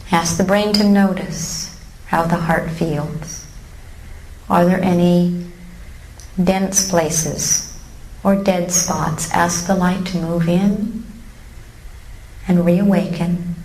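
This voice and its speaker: A woman leading a guided meditation in a calm, gently instructive way with long pauses, recorded live in a room. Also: fairly low pitch. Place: room with a seated live audience. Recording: roomy live recording with faint noise.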